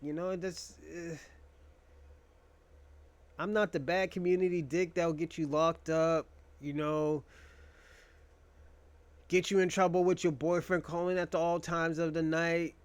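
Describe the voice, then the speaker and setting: A man's voice: fairly high pitch, flat delivery, unhurried, long pauses, smooth. A young man hosting a casual monologue, rambling and joking in a crude, profane way. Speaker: podcast host.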